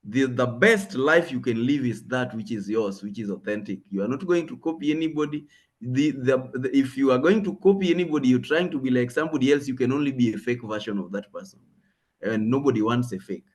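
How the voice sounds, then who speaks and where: A man with a Kenyan accent and a mid-pitched voice moderates a session in a conversational style. He moves haltingly through his remarks, often repeating words to drive them home. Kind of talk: conversational moderating of an online talk.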